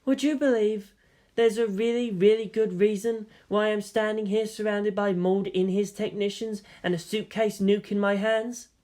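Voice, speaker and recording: A reader voicing a cool, sardonic young woman from a fanfiction audiobook, speaking dryly and sarcastically, pitched a little above the reader's own voice. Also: fairly even intonation, moderate pace, a little mellow, natural voice. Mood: exasperated.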